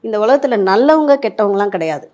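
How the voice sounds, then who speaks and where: A woman's voice: a little high, expressive, quick pace, warm tone, highs cut off. A woman speaking as a life coach in an interview, explaining emphatically with rhetorical questions and examples, mixing in English words. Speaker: life coach giving relationship advice.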